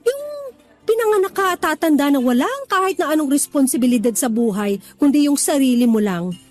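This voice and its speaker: A bubbly, teasing young office worker. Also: female voice, high-pitched, expressive, brisk. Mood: playful. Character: cheerful playful young office worker woman. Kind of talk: radio drama acting. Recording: music underneath.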